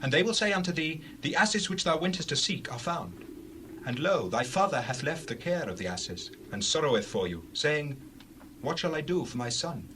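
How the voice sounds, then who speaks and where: A man playing an aged, authoritative Hebrew prophet in a dramatized scripture reading, speaking in solemn, deliberate pronouncements. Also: a little high, animated, bright, forceful tone, a little hiss or hum, poor audio. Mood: grave, prophetic.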